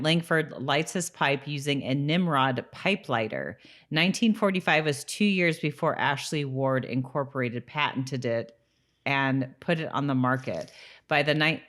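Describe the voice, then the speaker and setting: Low-pitched woman on a casual podcast. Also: lively intonation, normal speed, fairly bright tone. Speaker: podcast co-host. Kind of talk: casual podcast chat, reading out trivia.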